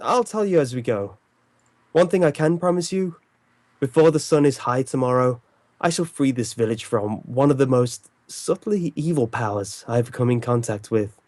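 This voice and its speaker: Man acting a commanding, mellow-voiced detective. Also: mid-range voice, animated, normal speed, no room sound, natural voice. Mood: assured, resolute. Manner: authoritative, formal, theatrical. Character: commanding, incisive male detective, calm and stern. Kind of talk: old-time radio drama acting.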